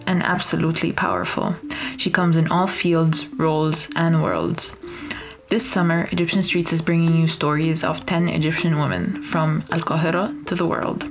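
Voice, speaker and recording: A woman host narrating scripted podcast introductions in a measured, emphatic way. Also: low voice.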